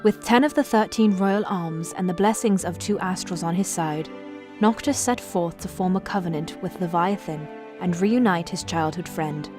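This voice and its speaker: A woman's voice: on the low side, moderate pace, natural voice. A woman reading composed, scripted narration for a fantasy audio drama. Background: music.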